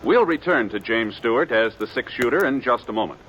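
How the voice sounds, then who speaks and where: A man reading a public service announcement in a measured, formal announcing manner on a 1950s radio broadcast. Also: medium pitch, expressive, muffled recording, over loud music. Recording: old radio broadcast with hiss.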